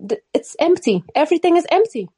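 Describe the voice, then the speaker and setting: A woman's voice: very high voice, sing-song, fast, warm tone, no echo, clean studio sound. A woman from Morocco, raised in the Netherlands, talking earnestly in a casual chat and often repeating and restarting her phrases.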